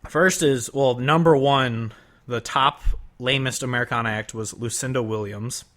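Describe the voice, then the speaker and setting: A man's voice: mid-range voice, steady tone, moderate pace, a bit bright. A man co-hosting a casual podcast, reading from his notes and laughing often. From Northern US. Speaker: podcast co-host. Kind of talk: casual chat.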